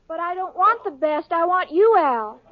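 A woman's voice: extremely high pitch, lively intonation, normal speed, heavy background noise. An actress playing a sweet, scatterbrained young woman in a 1940s radio sitcom, earnest and bubbly. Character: ditzy, sweet young woman, cheerful and muddled. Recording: dull lo-fi old radio broadcast.

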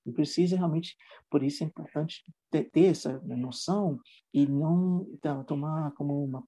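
A man who teaches English, talking casually in an interview and often pausing on fillers and restarts. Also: fairly high pitch, warm tone, slightly rough, low-quality recording. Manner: hesitant, many fillers and restarts.

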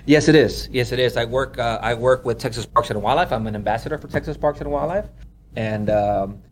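A man's voice: mid-range voice, fairly quick, a little hiss or hum. A man from Honduras who works as a wildlife photographer, telling stories in a casual interview. He rambles, leans on "you know" and laughs often.

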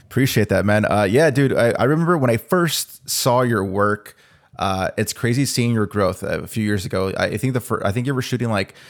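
A man's voice: on the low side, brisk. A man hosting a casual podcast interview, talking in a laid-back, slangy way. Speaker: podcast host.

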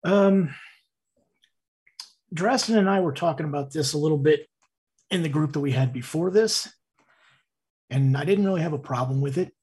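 A soft-spoken man, one of the players, chatting casually over a headset. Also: a little high, normal speed, long pauses, husky. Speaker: player on the stream. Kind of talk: casual stream chat.

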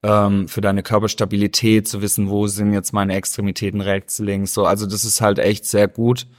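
A man from Stuttgart with a Swabian accent, chatting easily as an interview guest and often pausing with hesitations. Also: lowish voice, little pitch movement. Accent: Swabian German. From Stuttgart, Germany. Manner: easygoing, rambling, many hesitations. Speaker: interview guest, dancer and choreographer. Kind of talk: casual interview chat.

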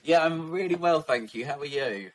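A man from Essex with a soft, gentle voice, a little croaky and tired, talking candidly and reflectively as a guest, with many ums and soft laughs. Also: fairly high pitch, normal speed, low-quality recording. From Essex, England. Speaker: guest, children's author. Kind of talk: casual interview talk.

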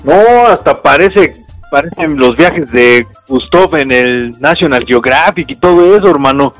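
Slangy Mexican radio co-host, high voice. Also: male voice, animated, dry recording, faint music behind. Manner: quick, slangy, joking. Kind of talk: casual joking chat.

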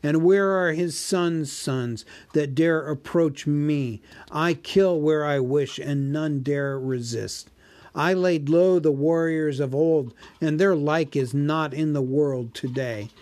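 A man voicing a huge, boastful, menacing old dragon in a storybook reading, slow and gloating. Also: fairly high pitch, darkish tone, voice pushed up.

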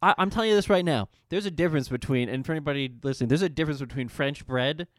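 Male podcast host, smooth dry-recorded voice, enthusiastic run-on asides. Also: a little high, very fast, dry recording. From Canada. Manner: eager, rambling asides with repeated words. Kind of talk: casual podcast chat.

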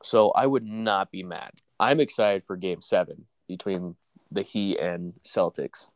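A relaxed young man with a congested, stuffy nose talks sports with a friend in a casual, easygoing way. His voice sits a little low, and the recording is dry with no room echo. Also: unhurried. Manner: laid-back, says "dude" and "bro" a lot. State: stuffy from allergies.

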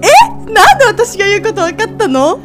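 A woman playing a sweet, excitable young woman in love in an amateur radio drama, gushing with wonder. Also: very high voice, fairly quick, music in the background, pitched above the performer's own voice. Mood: dreamy, delighted.

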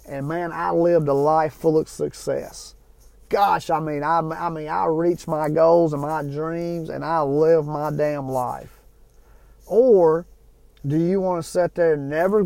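A man's voice: on the high side, gravelly, a little mellow, no echo. A man in his forties giving an earnest, folksy motivational talk to his viewers, repeating himself and restarting phrases. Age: 40s. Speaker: motivational vlogger. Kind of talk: casual motivational talk to camera.

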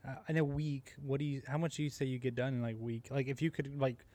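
A man's voice: medium pitch, flat delivery, fast, a little mellow. A young man hosting a casual podcast who asks loose follow-up questions and laughs easily. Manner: laid-back interviewer, asks loose questions, laughs often. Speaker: podcast host. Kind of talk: casual chat.

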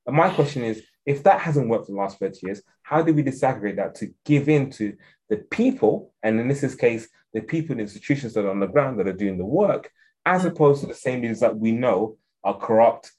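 A man with an English accent talks through his points as an interview guest. He is earnest and often restarts his sentences while he thinks aloud. His voice sits at a middling pitch. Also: animated, a little fast, darkish tone, low-quality recording. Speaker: guest expert on African security policy. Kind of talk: conversational interview answer.

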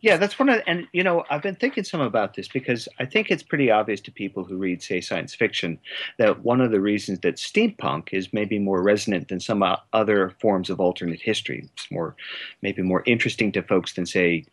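A man's voice: fairly low pitch, expressive, brisk, a bit bright. A man speaking as an interview guest, conversational and hesitant, circling around his points with many hedges. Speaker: interview guest, writer and director.

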